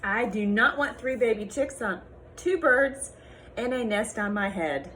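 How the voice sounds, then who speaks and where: A woman voicing an excitable, worried pig from a storybook, full of exclaiming, incredulous questions. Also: a little high, a little slow, slight background noise. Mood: exasperated alarm. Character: excitable, worried cartoon pig with birds on head.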